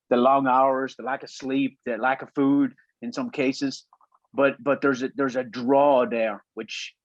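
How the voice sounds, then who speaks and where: A man in his fifties talks with an Irish lilt softened by years in America. He tells his story in a halting way, often repeating a word before going on. The sound is dry and a bit dull. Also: mid-range voice, no room sound. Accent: Irish with some American. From Ireland. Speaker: guest. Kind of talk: relaxed storytelling interview.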